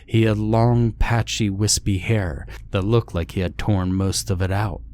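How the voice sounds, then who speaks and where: A man's voice: lowish voice, steady tone, measured pace, darkish tone, no echo. A man reading a scripted first-person horror story as its narrator.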